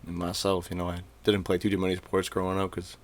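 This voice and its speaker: A man's voice: low-pitched, monotone, fast talker. A man in his twenties from Maine, hosting a podcast in loose, slangy casual chat. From Maine, USA. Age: 20s. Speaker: podcast host.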